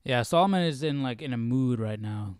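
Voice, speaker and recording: A man hosting a live Bible study in a casual, questioning way, thinking out loud as he reads and comments. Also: mid-range voice, animated, fairly quick, music in the background.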